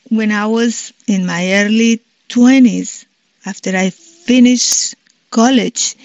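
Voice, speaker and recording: A woman in her sixties from Colombia with a Colombian Spanish accent, telling her life story in a reflective way, pausing and restarting often. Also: medium pitch, gravelly, no room sound, dull audio. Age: 60s. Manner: reflective, many restarts and pauses, soft-spoken. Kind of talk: personal life story, unscripted.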